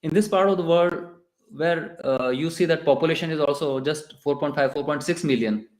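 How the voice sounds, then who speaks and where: A man with an Indian English accent giving a conversational devotional lecture in a temple, pausing and restarting often. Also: a little high, a little mellow. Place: temple hall. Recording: echoey temple room.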